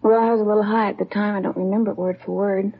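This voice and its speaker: Young female junkie witness, very raspy with fry, offhand and slangy, on a dull vintage radio recording. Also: mid-range voice, level tone, very fast. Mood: evasive, weary. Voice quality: husky, gravelly. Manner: clipped slangy sentences, offhand. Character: jaded twenty-three-year-old addict with a petty record, evasive. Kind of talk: 1950s radio drama acting. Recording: muffled old radio broadcast recording.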